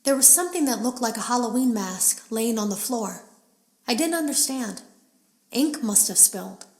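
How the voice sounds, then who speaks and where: A woman's voice: fairly high pitch, animated, normal speed, darkish tone. Echoey, uneasy female game tester. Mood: haunted. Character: uneasy female game tester confiding in secret audio logs. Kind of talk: scripted audio log monologue in a horror game.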